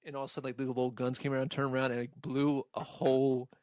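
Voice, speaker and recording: Man, casual podcast host, medium pitch, profane, exasperated storyteller, dry close sound. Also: little pitch movement, fast talker, darkish tone, no echo. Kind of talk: casual movie rant chat.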